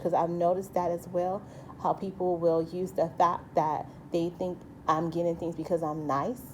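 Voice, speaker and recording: A woman talks to her viewers in a loose, earnest monologue, circling back with restarts and frequent asides. Her light voice sits a little low and sounds mellow rather than bright. A faint hiss of noise sits behind her. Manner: rambling, earnest, many restarts and "you know". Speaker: vlogger. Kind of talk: personal monologue to camera.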